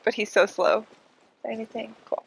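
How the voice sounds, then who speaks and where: A woman's voice: on the high side, steady tone, brisk, vocal fry, a little hiss or hum, low-quality recording. A congested woman giving casual live commentary as she streams a game. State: congested, breathing heavily.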